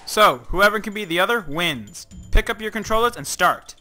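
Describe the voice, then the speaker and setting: A man hosting a cartoon contest and briskly announcing the rules. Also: high-pitched, expressive, bright, forceful tone. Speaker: contest host. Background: faint background music.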